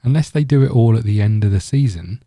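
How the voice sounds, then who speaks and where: A man's voice: medium pitch, quick pace, warm tone, no room sound, studio quality. A man hosting a gaming video, giving casual let's-play commentary in a rambling, thinking-aloud way.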